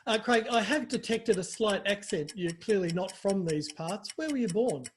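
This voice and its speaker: A man hosting a quick-fire comic interview in a teasing, mock-formal, playful way. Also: high voice, lively intonation, a little hiss or hum. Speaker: interview host.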